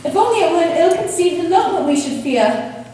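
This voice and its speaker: A woman in an amateur stage play, acting a gentle, worried young sister who earnestly recites a letter. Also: extremely high pitch, expressive, brisk. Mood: grave. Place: theatre stage, recorded from a distance. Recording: echoey hall, distant mic, faint noise.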